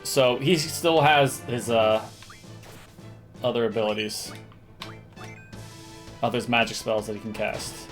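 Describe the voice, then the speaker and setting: A man streams a video game with casual, think-aloud commentary. His voice sits at an average pitch, and he sometimes hums to himself. Game music plays behind him. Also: fairly even intonation, takes it slowly, long pauses. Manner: thinks aloud, hums little nonsense tunes.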